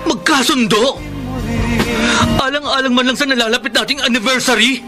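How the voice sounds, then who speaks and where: High-voiced, desperate Filipino boyfriend. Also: male voice, fairly even intonation, moderate pace. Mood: desperate pleading. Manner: emotional, pleading delivery. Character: desperate young boyfriend pleading to save his relationship. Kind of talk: Filipino radio drama acting. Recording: radio drama with loud music underneath. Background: loud dramatic music.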